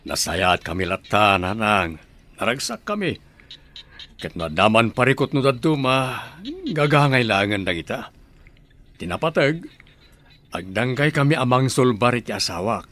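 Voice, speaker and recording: A man's voice: medium pitch, animated, unhurried, pauses often, fairly bright tone. A man playing a devoted, conciliatory middle-aged husband and father in a radio drama, with an Ilocano Filipino accent. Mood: caring.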